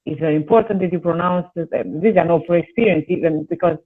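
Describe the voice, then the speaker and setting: A woman's voice: lowish voice, quick pace, breathy edge. A woman from Italy with an Italian accent, a singer and voice teacher talking earnestly in a casual conversation, her English learned as a second language. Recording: tinny phone-like line.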